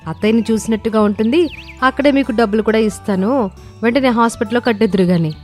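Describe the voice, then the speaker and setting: A woman voicing a shrewd, sarcastic young village daughter-in-law in an animated moral story, outwardly polite but firm. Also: fairly high pitch, quick pace, soft background music, close to an everyday voice. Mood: sly politeness. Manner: firm, slyly sweet.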